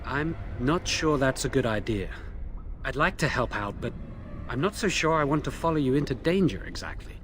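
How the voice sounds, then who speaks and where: A man's voice: medium pitch, lively intonation, moderate pace, fairly bright tone, a little hiss or hum, natural voice. An actor playing a remorseful, anxious young male blood mage who pleads hesitantly, in video game dialogue. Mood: uneasy.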